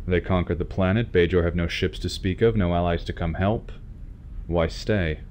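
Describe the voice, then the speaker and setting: A man playing a calm, decisive starship captain in a science fiction audio drama, speaking with measured authority. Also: sits low, natural voice. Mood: decisive, serious. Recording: background noise, ship ambience.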